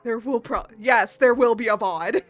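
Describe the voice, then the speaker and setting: Hoarse, giggly high-voiced woman. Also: moderate pace, no room sound, soft background music. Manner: giggly, breaks into fits of laughter. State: hoarse from laughing. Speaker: VTuber artist streamer. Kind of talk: casual live stream chat.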